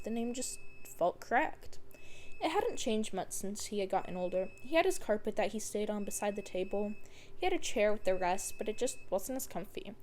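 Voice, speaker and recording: A woman's voice: medium pitch, darkish tone, a little hiss or hum. A woman narrating a scripted story as a fan fiction reader.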